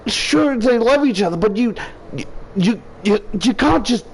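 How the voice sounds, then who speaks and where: A man's voice: extremely high pitch, animated, a little slow, slight background noise, low-quality recording. A man giving a casual, rambling game review in a profane, exasperated, ranting manner with emphatic repetition. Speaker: video game reviewer, YouTuber.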